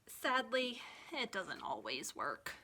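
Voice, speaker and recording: Bright, animated woman reading to children. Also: a little high, slight background noise. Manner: expressive, deliberate phrasing for young listeners. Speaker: children's librarian reading aloud. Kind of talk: animated children's storytime read-aloud.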